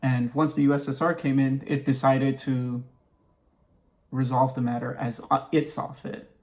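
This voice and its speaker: A man speaking as a history expert in an interview, explaining in measured, precise and formal wording. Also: mid-range voice, level tone.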